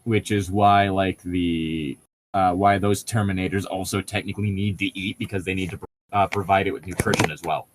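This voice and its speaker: A man with a fairly low voice in casual co-host banter. He talks like a pedantic explainer, wandering through his points as he goes. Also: steady tone, moderate pace, slight background noise, poor audio. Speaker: podcast co-host reading and riffing on a novel.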